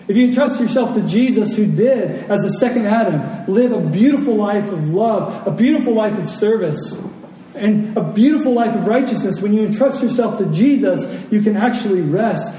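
Male pastor, high-pitched and mellow, delivering an earnest sermon with deliberate repetition. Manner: earnest, repeats key phrases for emphasis. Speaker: pastor preaching. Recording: roomy, distant pickup with faint noise.

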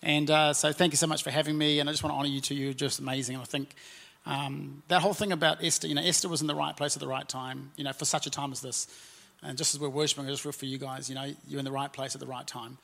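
Nieuw-Zeelandse dominee, wat hoge stem. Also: male voice, a little high, little pitch movement, fast talker, creaky. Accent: New Zealand. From New Zealand. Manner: warm, conversational preaching with frequent "and, uh" fillers. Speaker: pastor. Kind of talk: sermon. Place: church auditorium.

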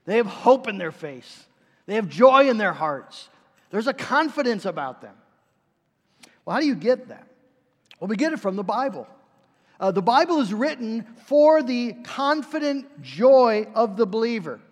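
Man, preacher, high voice, chatty sermon with fillers, echoing hall. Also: sing-song, moderate pace. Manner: conversational preaching with frequent fillers and restarts. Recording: reverberant hall.

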